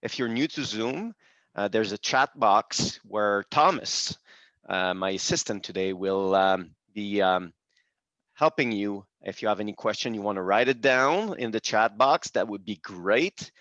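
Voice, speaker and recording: A French-speaking man from Quebec hosting a webinar in English with a Quebec French accent, pausing often and restarting his sentences. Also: fairly low pitch, a bit bright, no room sound. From Quebec, Canada. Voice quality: frequent hesitations and restarts in a second language. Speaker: webinar host and presenter. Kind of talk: hesitant presentation. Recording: dull dry video call audio.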